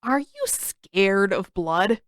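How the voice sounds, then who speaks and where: A woman's voice: mid-range voice, animated, drawn-out words, dry recording. A woman voicing a vain, defensive teenage boy in an audiobook story, casual and exasperated in manner. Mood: self-conscious, teasing.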